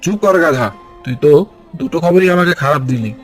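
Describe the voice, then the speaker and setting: A man's voice: a little high, animated, a little fast, soft background music, natural voice. A man voicing a greedy, bossy village moneylender in a cartoon, scheming and scolding. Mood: irritated, scolding. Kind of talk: Bengali cartoon voice acting.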